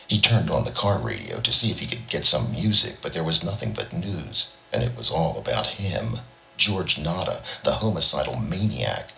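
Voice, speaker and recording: A man's voice: a bass voice, sing-song, normal speed, vocal fry, bright, forceful tone, low-quality recording. A man reading a scripted short story as an audiobook narrator. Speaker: audiobook reader.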